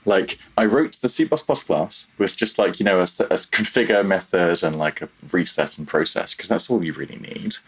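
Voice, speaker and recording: A man's voice: low voice, moderate pace, hardly any pauses, husky, poor audio. A man chatting casually as a podcast guest, self-deprecating and a little hesitant in how he phrases things. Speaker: podcast guest, freelance audio programmer. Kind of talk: casual interview chat.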